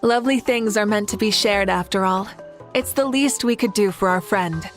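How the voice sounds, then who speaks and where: A woman's voice: medium pitch, normal speed, lightly acted. A woman playing an assured, kindly female warrior leader in a video game, speaking with composure. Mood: warm, sincere. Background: faint music.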